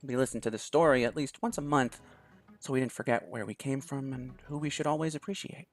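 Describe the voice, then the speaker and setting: A man reading a visual novel aloud in a measured, storytelling manner. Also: medium pitch, soft background music. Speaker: game streamer.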